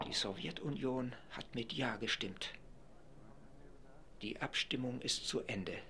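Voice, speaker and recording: A man's voice: mid-range voice, slow pace. An actor playing a male radio reporter giving live commentary from a 1947 vote in a radio play. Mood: measured. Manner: steady commentary, swelling to excited announcing. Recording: crowd and noise behind. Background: assembly hall crowd murmur.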